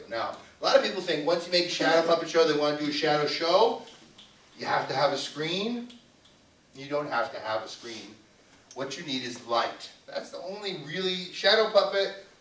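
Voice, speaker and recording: Echoey, higher-voiced man presenting live. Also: fairly bright tone. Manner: informal, instructive, playful. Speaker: puppeteer presenter. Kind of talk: live talk to a family audience. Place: indoor venue, live show. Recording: echoey room, distant mic.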